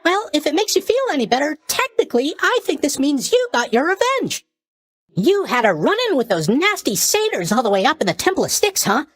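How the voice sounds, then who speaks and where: A man's voice: very high-pitched, big pitch swings, a little fast, a bit bright, dry recording, full character voice. A man playing a cheery, oblivious young god of sleep in a video game, chatty and blithely tactless in his mock sympathy. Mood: blithely sympathetic. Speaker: video game character voice actor. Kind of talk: video game character voice lines.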